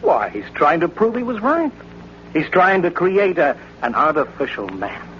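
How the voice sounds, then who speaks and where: A man's voice: a little high, wildly swooping pitch, normal speed. An actor playing a pushy, cynical male newspaper reporter, brash and wisecracking, in a vintage radio drama. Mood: eager, bossy. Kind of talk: 1940s-50s radio drama acting. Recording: dull, lightly noisy vintage radio recording.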